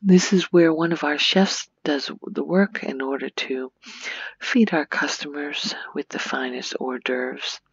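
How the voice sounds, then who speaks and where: A soft, breathy woman gives an unhurried ASMR game tour, often dropping near a whisper. Her voice has a creaky edge, and the close, dry recording is slightly muffled. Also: extremely low pitch, no room sound. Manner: gentle, unhurried, hushed. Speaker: video creator giving a game tour.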